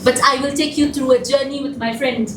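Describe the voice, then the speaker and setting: A woman puts on an old female French ghost with a put-on French accent and a high voice, hosting a history lecture with theatrical flair. She talks grandly and throws out rhetorical questions. It sounds far from the mic, on an echoey, noisy stage. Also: steady tone, fairly quick, natural voice. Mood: grandiose. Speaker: improv performer. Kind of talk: improv comedy. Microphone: distant room mic.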